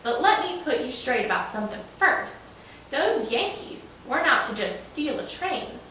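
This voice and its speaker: A woman's voice: sits high, sing-song, moderate pace, sharp tone, heavily acted. Woman reading as a folksy old locomotive, very echoey. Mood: folksy, animated. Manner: folksy, eager storyteller. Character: the General, proud folksy old steam locomotive, male. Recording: echoey room, distant mic, faint noise.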